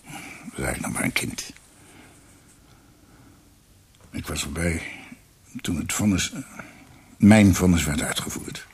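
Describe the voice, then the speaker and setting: An actor playing a guilt-ridden older former judge in a radio drama, husky, halting and formal, a proud and guarded father. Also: low-pitched, exaggerated voice. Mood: remorseful.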